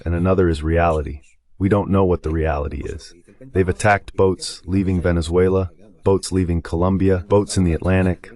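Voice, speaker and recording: A man's voice: low-pitched, a little mellow. A man giving conversational political analysis as a guest on a talk show.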